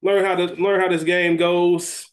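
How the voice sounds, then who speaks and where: A man's voice: high-pitched, little pitch movement, a little slow. Casual, slangy man podcasting. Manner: informal, slangy, with some swearing. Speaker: podcast co-host. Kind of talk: casual chat; fantasy draft discussion of a TV show.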